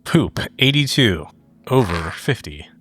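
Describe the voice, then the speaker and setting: A man's voice: lowish voice, very animated, slow pace, fairly bright tone, slightly rough, soft background music. A man talking casually as a player in a tabletop roleplaying game.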